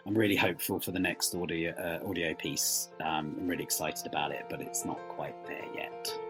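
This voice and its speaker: A man with a husky, fairly low voice and faint music behind him. He answers interview questions casually, starting and restarting with modest understatement. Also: low-quality recording. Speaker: writer, interview guest.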